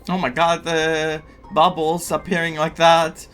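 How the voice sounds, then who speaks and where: A man's voice: a little high, completely flat delivery, unhurried, a bit bright, clean tone. A man doing casual playthrough commentary as a gaming streamer, reacting with muttered asides and dramatic repetition. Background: game music.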